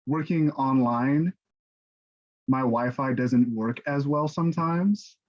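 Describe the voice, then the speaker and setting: A man speaking in a measured, earnest way during a casual online panel discussion over a video call. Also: mid-range voice. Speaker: stage directions reader. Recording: dull, lo-fi video-call audio.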